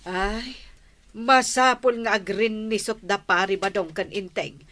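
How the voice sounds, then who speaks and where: A woman's voice: medium pitch, a little slow, a bit bright, close to an everyday voice. A woman with an Ilocano accent acting a probing, no-nonsense village woman in a comedy radio drama.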